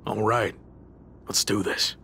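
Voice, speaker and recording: A man's voice: fairly low pitch, little pitch movement, slow, vocal fry, bright, forceful tone, a little hiss or hum, exaggerated voice. A man playing a determined pro wrestler in a video game cutscene, dry and understated in manner. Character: determined, dry-witted male pro wrestler leading a team.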